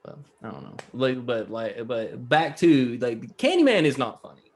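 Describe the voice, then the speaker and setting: A young man with a low voice talks through a movie in loose, rambling podcast chat. His pitch swings widely, and he is blunt and quick to joke. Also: sing-song, a little hiss or hum. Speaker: podcast co-host.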